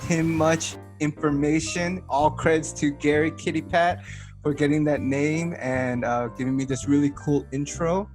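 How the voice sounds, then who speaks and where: A man's voice: a little high, fairly even intonation, unhurried, a little mellow. Teasing male podcast host, music behind. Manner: teasing, joking. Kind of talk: casual interview chat.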